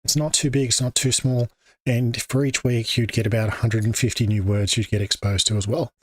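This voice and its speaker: A man's voice: mid-range voice, flat delivery, fairly quick, breathy edge, no room sound. An Australian man hosting an English-teaching livestream, talking off the cuff with many fillers and restarts. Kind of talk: unscripted livestream talk. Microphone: desk microphone.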